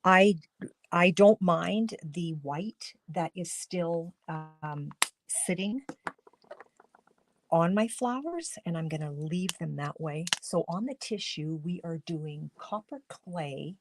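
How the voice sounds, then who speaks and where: Woman host of a live craft stream, slightly hoarse, slow and chatty, greets viewers as she works. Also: lowish voice, rough recording. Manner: chatty, thinks aloud while coloring, greets viewers by name. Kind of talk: casual live crafting demo talking to viewers.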